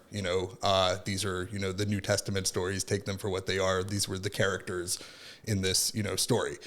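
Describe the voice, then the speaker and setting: A man in his thirties from Southern California, a podcast guest telling his story in a rambling, casual way full of fillers. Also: deep voice. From Southern California, born in Finland. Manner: rambling, many fillers like "like" and "right?". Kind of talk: casual reflective chat.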